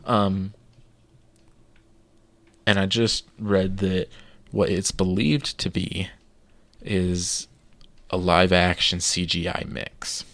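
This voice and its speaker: Low-voiced guy on a podcast laying out his points, dry mic. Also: fairly even intonation, slow pace, pauses often, a bit bright, no echo. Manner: eager, builds his case point by point. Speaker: podcast co-host. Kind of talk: casual podcast chat.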